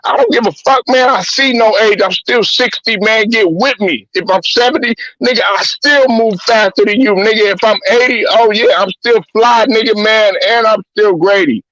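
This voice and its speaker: A man's voice: high voice, moderate pace, few pauses, fairly bright tone, no echo, dull audio. A man hosting a talk show, ranting bluntly and profanely with heated repetition. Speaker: host. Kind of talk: profane sports-talk rant.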